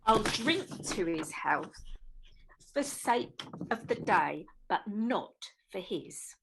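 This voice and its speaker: A woman's voice: medium pitch, big pitch swings, slow pace, vocal fry, background noise, heavily acted. A woman playing a fond, emphatic, easily indignant Victorian mother in an amateur radio play. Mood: grudging.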